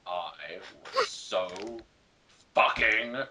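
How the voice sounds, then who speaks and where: A man's voice: low-pitched, animated, extremely slow, crowd noise. A man reading and bantering in an exaggerated style among friends, with erratic dramatic delivery. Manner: erratic, bursts into exaggerated shouts. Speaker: player in a dramatic reading game.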